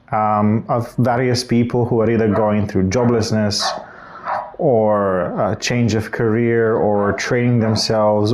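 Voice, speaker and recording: A man in his thirties from Bulgaria talks into his camera at home in an unhurried vlog. His voice sits at an ordinary mid pitch, and he circles his points with restarts and corrections. A faint hiss runs underneath. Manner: reflective, rambling with restarts and self-corrections. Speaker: vlogger. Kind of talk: casual talking-head vlog.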